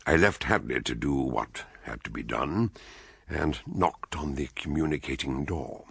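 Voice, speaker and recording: An actor playing a ponderous, learned doctor sleuth who tells his case in a measured, theatrical, literary way in a radio drama. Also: a bass voice, gravelly, slightly rough, no echo, studio quality, exaggerated voice. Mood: measured narration. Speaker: detective and narrator. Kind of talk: radio drama narration and dialogue.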